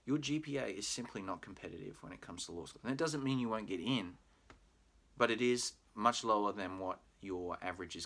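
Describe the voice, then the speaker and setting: Deep-voiced Australian man explaining calmly. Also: slightly rough. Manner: friendly, explanatory, with frequent "uh" fillers. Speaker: pre-law program manager. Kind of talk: recorded admissions presentation. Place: home room with bookshelf, indoors.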